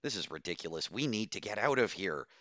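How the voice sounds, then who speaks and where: A man's voice: on the low side, expressive, brisk, pushed and bright, no echo. A man voicing an agitated, stubborn woman doctor with a strident, complaining manner in an audiobook story. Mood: irritated, aggrieved. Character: agitated, stubborn female doctor, hissing and whining.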